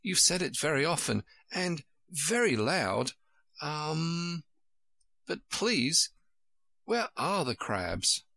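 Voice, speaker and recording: A man's voice: on the high side, very animated, slow, creaky, sharp tone, no room sound, voice pushed up, full character voice. A man voicing a polite, earnest and eager little girl from a storybook, easily puzzled. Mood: vexed, polite. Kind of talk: storybook character voice.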